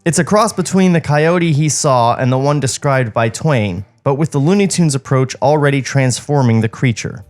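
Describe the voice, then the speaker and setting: A man's voice: mid-range voice, expressive, normal speed, few pauses, faint music behind. A man hosting a podcast with casual, mocking commentary and script reading. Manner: irreverent, mocking. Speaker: podcast host.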